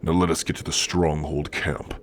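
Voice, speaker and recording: A man voicing a hulking, stern orc warrior in an ASMR roleplay, speaking in archaic threats with dark amusement. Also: a bass voice, little pitch movement, a little hiss or hum. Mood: stern, threatening. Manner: slow, archaic, threatening phrasing.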